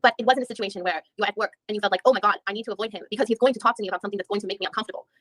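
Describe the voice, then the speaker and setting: A woman's voice: mid-range voice, rapid-fire, a bit bright, natural voice. A woman reading the part of a female attorney who questions a witness methodically, with frequent restarts. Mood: probing. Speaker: reader in a deposition reenactment. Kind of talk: read-aloud legal reenactment.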